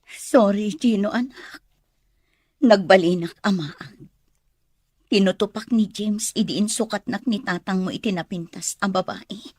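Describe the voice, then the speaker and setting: A woman's voice: mid-range voice, measured pace, darkish tone, slightly rough, no echo. A woman playing a remorseful, worried mother in an Ilocano radio drama, tender and emotional. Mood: remorseful, pained. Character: remorseful, worried mother visiting her son.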